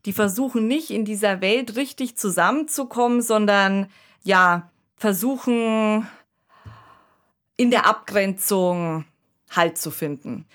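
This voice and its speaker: A woman's voice: mid-range voice, takes it slowly, fairly bright tone. A woman co-hosting an analytical conversation, explaining thoughtfully and checking in with short tag questions. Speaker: podcast co-host.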